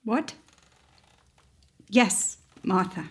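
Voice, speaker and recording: A woman playing a dazed, weary, grieving mother, halting and tender, in a radio play. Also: mid-range voice, expressive, fairly bright tone, slightly rough, full character voice. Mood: distracted sorrow. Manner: halting, distracted. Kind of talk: theatrical radio-play acting.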